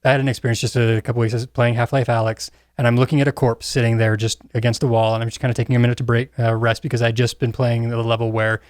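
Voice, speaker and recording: A man's voice: medium pitch, little pitch movement, quick pace, hardly any pauses, a little mellow, no echo, clean studio sound. A middle-aged man talking casually as a co-host on a panel podcast.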